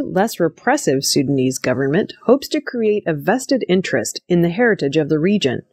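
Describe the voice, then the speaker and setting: A woman's voice: on the low side, darkish tone, breathy edge, dry recording. A woman reads the news from a prepared script. She works as a narrator of reports, item after item. The recording is dry, with hardly any room around the voice. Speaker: news reader.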